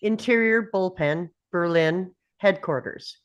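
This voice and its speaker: A woman's voice: on the low side, slow pace, clean tone, dull audio. A woman narrating screenplay stage directions in clipped phrases.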